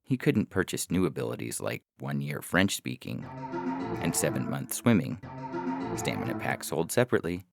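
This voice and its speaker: Male fiction narrator, wry and dramatic, extremely low register with fry, over a prominent music bed. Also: very animated, normal speed. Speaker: story narrator.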